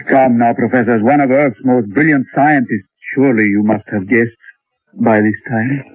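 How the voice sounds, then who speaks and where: A dry, tinny vintage radio recording carries a courteous male alien villain. His voice is medium-pitched and husky. He is elaborately polite and never rushed, with a suave menace beneath the manners. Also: no echo. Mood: smugly amused. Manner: smooth-mannered, over-polite, unhurried menace. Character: suave, courteous male Martian posing as ranch owner. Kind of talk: old-time radio drama acting.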